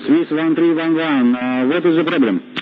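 A man's voice: on the high side, normal speed. A male air traffic controller on aviation radio, hesitantly groping for English words. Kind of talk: radiotelephony instructions. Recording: dull radio transmission.

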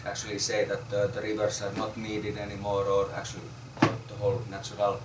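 A man in his forties giving an informal talk to a small group, halting with many fillers and repeated words. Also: on the low side, completely flat delivery. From Finland. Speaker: architect presenting a research project. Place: small crowded room, indoors. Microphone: far camera. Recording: roomy, distant, noisy room.

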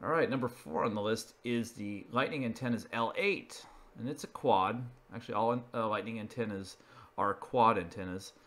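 A man's voice: fairly low pitch, normal speed, a bit bright. A man presenting an informal narrated slideshow, explaining each item in a matter-of-fact way. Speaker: video presenter.

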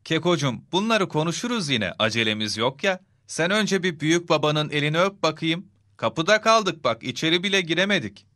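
A man voicing a patient, affectionate father in a children's cartoon, speaking gently and reassuringly. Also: a little high, animated, a little fast, hardly any pauses, no room sound. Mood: gently chiding. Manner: gentle, reassuring, clearly enunciated.

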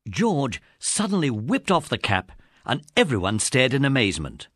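A man's voice: medium pitch, wildly swooping pitch, normal speed, fairly bright tone, breathy edge, dry recording, studio quality. A British man narrating a scripted children's story as the narrator of an audiobook.

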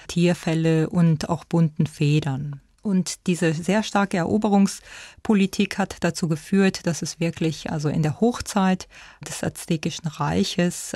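A woman's voice: low-pitched, mellow, no echo. Female expert explaining in a dry studio sound. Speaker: expert commentator. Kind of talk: explanatory interview talk.

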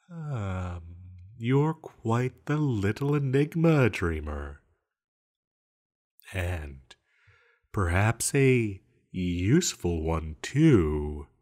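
A man playing a banished sorcerer villain, sly, seductive and scheming, speaking in a teasing, theatrical manner to the listener in an audio roleplay. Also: heavily acted. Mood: intrigued, scheming. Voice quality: low-pitched. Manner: slow, teasing, theatrical, with lingering pauses.

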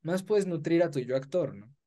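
A man's voice: fairly high pitch, expressive, normal speed, mellow. A young man hosting an interview in an appreciative, effusive conversational way. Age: young adult. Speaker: interview host. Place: small foam-treated room, indoors. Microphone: video call.

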